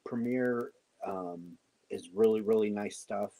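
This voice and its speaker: A man hosting a crochet live stream, chatting casually with halting pauses and answering viewer comments. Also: on the low side, dark tone, poor audio. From United States. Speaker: crochet show host.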